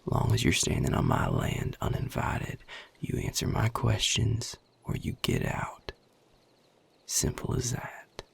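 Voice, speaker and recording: Man voicing a gravelly, deep Southern vampire. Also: wildly swooping pitch, heavily acted. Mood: irritated, sardonic. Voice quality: gravelly, husky, breathy low voice. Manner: slow, drawling, sardonic and curt. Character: guarded, gruff Southern male vampire defending his territory. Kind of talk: audio roleplay addressed to the listener.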